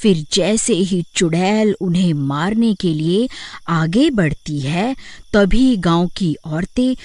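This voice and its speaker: A woman's voice: lowish voice, lively intonation, slow, a little mellow. A woman reading scripted narration for a cartoon horror story.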